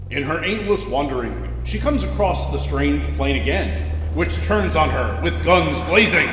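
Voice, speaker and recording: A man's voice: a little high, noisy background. A man giving emphatic, dramatic scripted narration of a superhero radio drama. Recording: echoey room with long reverb. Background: music.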